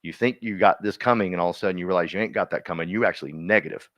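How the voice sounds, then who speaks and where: A man coaching a live workshop audience, energetic and folksy, constantly quizzing the room and drawing answers out of people. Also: deep voice, rapid-fire. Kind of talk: interactive seminar teaching.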